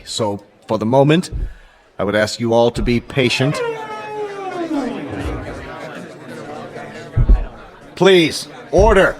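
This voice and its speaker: Formal UN official, male actor; mid-pitched, measured statements, radio drama. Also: natural voice. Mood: urgent. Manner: formal official address, halting at times. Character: formal male UN Secretary General. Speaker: UN Secretary General.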